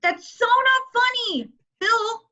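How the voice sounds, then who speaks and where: Woman reads a whiny, fretful boy; high and bright, dull recording. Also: slow pace, a little hiss or hum, exaggerated voice. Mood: nervous. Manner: worried and whiny. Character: anxious germ-fearing boy, fussy and nervous. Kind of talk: table read over a call.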